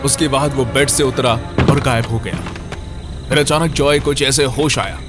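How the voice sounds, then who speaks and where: A man's voice: mid-range voice, moderate pace, loud music behind the voice. A man narrating a scripted horror story as its storyteller.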